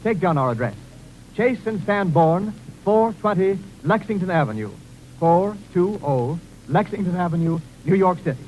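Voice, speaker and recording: A man's voice: sits high, expressive, normal speed, a little mellow, a little hiss or hum, poor audio. A man reading a scripted 1930s radio commercial as announcer, with an emphatic sales delivery. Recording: vintage 1930s radio broadcast recording.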